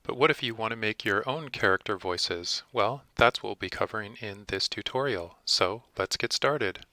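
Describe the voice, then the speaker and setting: A man narrating a software tutorial as its presenter, methodically explaining things step by step. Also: lowish voice, moderate pace, no echo. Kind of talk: instructional screencast narration.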